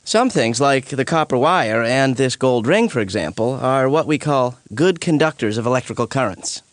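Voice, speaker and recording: A man's voice: medium pitch, animated, moderate pace, no room sound, close to an everyday voice. A man voicing a laid-back, jokey science professor in a cartoon, explaining things in a breezy, casual way. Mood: patient explaining. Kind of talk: animated educational courtroom dialogue.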